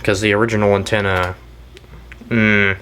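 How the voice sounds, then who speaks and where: A man hosting a casual show-and-tell, talking in a rambling, halting way and often trailing off mid-thought. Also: on the low side, level tone, a bit bright, clear, resonant tone. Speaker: video host and weather radio collector.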